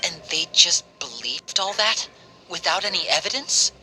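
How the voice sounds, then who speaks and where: Casting: loyal young organization member in an anime game, strikingly bright voice, serious and explanatory, music behind. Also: male voice, on the high side, lively intonation, poor audio. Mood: questioning. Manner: measured, explanatory. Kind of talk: anime-style video game voice acting.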